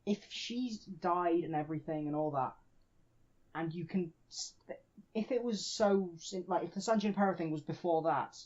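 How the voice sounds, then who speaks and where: A man's voice: high voice, normal speed, a little mellow. A man co-hosting a podcast, casually debating a TV show and often restarting his sentences. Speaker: podcast co-host. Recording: dull lo-fi sound.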